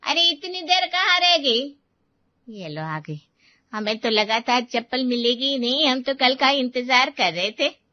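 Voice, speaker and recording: A woman voicing a sharp-tongued, scheming older aunt in a cartoon, with a mocking, taunting way of talking. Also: high-pitched, animated, measured pace, dry recording, muffled recording. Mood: sarcastic. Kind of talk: Hindi cartoon voice acting.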